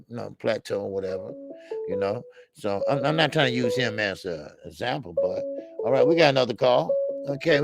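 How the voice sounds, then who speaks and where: A man's voice: mid-range voice, creaky, a bit bright, no echo. A man hosting a sports call-in show, talking in an informal, familiar, slangy way. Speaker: host of a boxing call-in show. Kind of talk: casual sports talk with callers.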